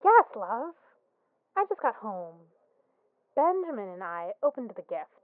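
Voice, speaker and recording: Over a tinny phone line, a bewitched wife played by an actress speaks in a fairly high, swooping voice. She is sweet and unnervingly reassuring, in audio drama style. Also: big pitch swings, measured pace, no echo. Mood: eerily sweet. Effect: phone call filter.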